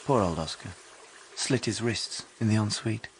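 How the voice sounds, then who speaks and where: An actor playing a wry, confiding working-class young drifter, narrating a radio drama in colloquial speech. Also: male voice, fairly low pitch, normal speed, a little hiss or hum, natural voice, poor audio. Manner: colloquial, non-standard grammar.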